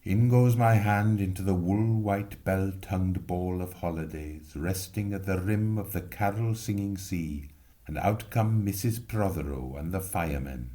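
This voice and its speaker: A man reading a literary story aloud with expressive narration. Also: deep voice, a little slow. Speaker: reader.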